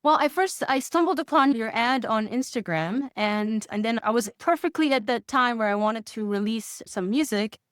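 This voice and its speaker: A woman with a fairly high voice speaks as a student giving an interview testimonial. She explains things enthusiastically, chuckling often. The sound is close and dry, with little room on it. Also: normal speed, few pauses, dry recording. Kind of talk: conversational interview answers.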